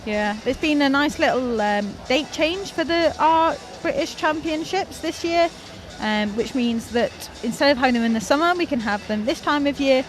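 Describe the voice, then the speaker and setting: A woman's voice: high-pitched, animated, moderate pace, few pauses, soft background music. A young woman from North Wales giving chatty, enthusiastic live commentary on a climbing competition, often laughing at her own slips.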